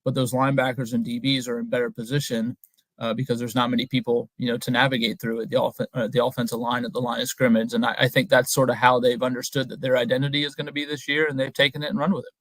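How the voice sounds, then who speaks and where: A young man, a guest reporter, giving measured, analytical answers in a casual interview over a video call. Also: mid-range voice, little pitch movement, a bit bright, no echo, low-quality recording. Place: indoor room at home. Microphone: webcam on a video call.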